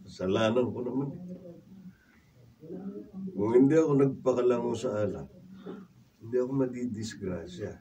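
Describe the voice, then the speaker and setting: An actor playing a weary drunkard older brother in a Filipino radio drama, with a gravelly voice. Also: male voice, mid-range voice, normal speed, long pauses, dark tone, close to an everyday voice. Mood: weak, remorseful. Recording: distant mic with faint noise.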